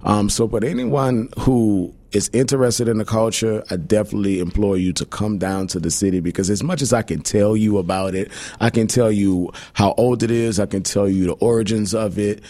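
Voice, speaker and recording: A man, a musician and Big Chief, talking in a relaxed, humble and thoughtful way in a radio interview, with many fillers. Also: fairly low pitch, moderate pace, hardly any pauses, no room sound. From New Orleans. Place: radio studio.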